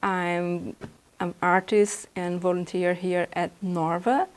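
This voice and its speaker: Woman, Brazilian Portuguese accent, native Portuguese speaker, art instructor, very slow pace, thinking aloud while drawing. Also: fairly low pitch, level tone. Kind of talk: drawing demonstration.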